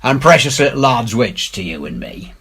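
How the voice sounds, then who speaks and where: Guy doing sly old pirate from a storybook, buttering people up, raised husky voice, salty sailor talk. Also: mid-range voice, expressive, moderate pace, fairly bright tone, voice pushed up, heavily acted. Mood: sly agreement. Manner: deferential rustic sailor talk. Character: Long John Silver, wily, ingratiating old pirate. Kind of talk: dramatic storybook character reading.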